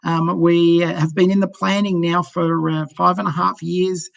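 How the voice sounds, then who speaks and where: A man's voice: high voice, level tone, normal speed, creaky, no room sound, dull audio. A middle-aged man with an Australian accent, a cruise sales director talking over a video call in a friendly, chatty way with many ums and uhs. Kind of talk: casual video-call presentation.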